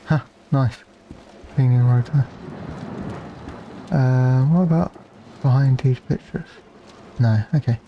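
A man's voice: mid-range voice, slow, long pauses, muffled recording, slight background noise. A man playing a game and musing aloud in a wry, casual way. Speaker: let's play gamer.

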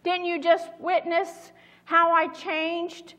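A middle-aged woman pastor giving a sermon from a church pulpit, speaking in a measured, earnest way. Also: very high-pitched, monotone, fairly bright tone. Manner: measured, earnest reading aloud. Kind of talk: sermon with scripture reading. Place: church sanctuary behind a pulpit. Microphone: small mic at the pulpit. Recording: long church reverb.

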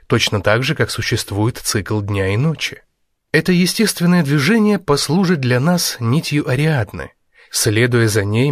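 A man reads a nonfiction book as a scripted narration. His voice sits at an average male pitch, and he phrases deliberately, leaving measured pauses. The sound is dry, with no room around it. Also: dry recording. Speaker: audiobook narrator.

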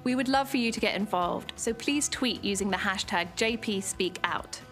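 A woman, hosting an event with clear, upbeat scripted presenting to camera on a dark studio stage. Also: medium pitch, normal speed, soft background music. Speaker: event host.

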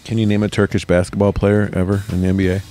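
A man co-hosting a podcast in casual chat, laid-back with deadpan jokes. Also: sits low, fast, faint music behind. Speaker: podcast co-host.

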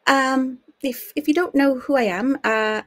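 A woman's voice: high-pitched, measured pace, no room sound, clean studio sound. An American woman from the United States in her thirties, hosting a live-streamed history show from her home study in a chatty, friendly way with small fillers. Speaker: host.

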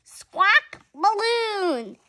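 A young female reader voicing an excitable storybook parrot with loud, squawking calls. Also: very high voice, extremely slow, voice pushed up, heavily acted. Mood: excited squawking.

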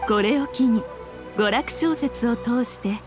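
A woman's voice: fairly high pitch, takes it slowly, music in the background. A woman playing an elegant, teasing ancient fox shrine maiden in a Japanese anime game, with archaic, haughty, self-assured speech. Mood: persuasive.

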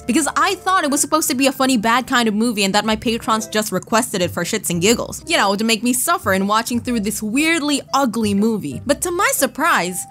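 Sassy, animated woman reviewing a movie. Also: on the high side. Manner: sassy, playfully exasperated, slangy. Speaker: YouTube video essayist. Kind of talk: casual, joking scripted movie review. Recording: music bed under the voice. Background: background music.

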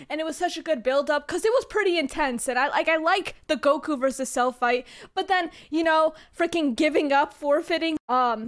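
A young woman chats as the host of a reaction video. Her voice is notably high, with a giggly, slangy and expressive way of talking. She speaks close to a desk microphone in a small bedroom. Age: young adult. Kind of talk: casual excited fan chat. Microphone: close desk microphone on boom arm.

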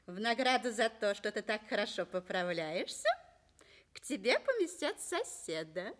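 A woman's voice: medium pitch, sing-song, moderate pace, a bit bright. An actress playing a merry young woman in a radio play, mock-ceremonious and giggly. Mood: playful, teasing. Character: playful, plump, merry young nurse, clowning sweetly. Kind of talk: Soviet radio play acting.

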